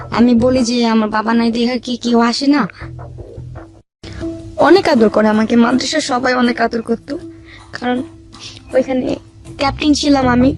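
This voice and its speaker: A young woman tells a personal life story, repeating phrases as she goes. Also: a little high. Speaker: guest telling her life story. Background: background music.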